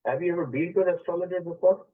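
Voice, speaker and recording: A man's voice: sits high, rapid-fire. A male radio astrology host taking a caller, explaining the chart and switching between English and Hindi phrases. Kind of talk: call-in radio consultation. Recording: tinny phone-line sound.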